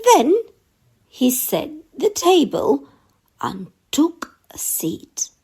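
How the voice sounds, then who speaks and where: A woman reads a children's storybook aloud in a high voice. Her narration is expressive and dramatic, with big swings in pitch and a very slow, deliberate pace. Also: creaky. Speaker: storybook reader.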